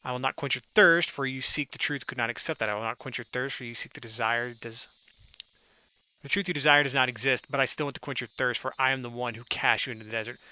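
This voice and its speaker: A man's voice: mid-range voice, quick pace, bright, forceful tone, a little hiss or hum, poor audio. A man reading a visual novel aloud as a let's play host, theatrical and mixing in casual joking commentary.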